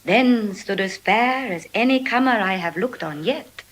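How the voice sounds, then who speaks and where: A woman's voice: medium pitch, expressive, unhurried, a little hiss or hum, lightly acted, rough recording. An actress playing a poised, dutiful young noblewoman, speaking formal Shakespearean verse with composure. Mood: poised, gracious. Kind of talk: measured Shakespearean verse acting.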